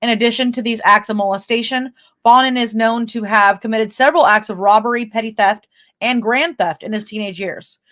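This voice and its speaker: A woman's voice: a little high, brisk, a bit bright. A woman co-hosting a true-crime podcast, moving between reading notes and informal banter with her partner. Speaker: podcast co-host. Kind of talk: true-crime podcast talk, part read from notes, part casual chat.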